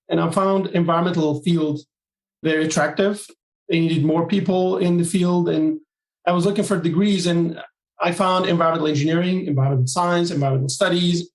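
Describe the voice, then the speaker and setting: A man from Turkey, a guest on an interview podcast, explaining his work in measured, listing phrases. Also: fairly high pitch, roomy sound, poor audio. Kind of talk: interview answers.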